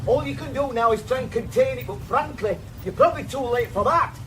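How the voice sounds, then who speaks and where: An actor playing an exasperated male farm vet in a radio drama, blunt and forceful. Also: very high-pitched, fairly quick, far from the mic, slight background noise, low-quality recording.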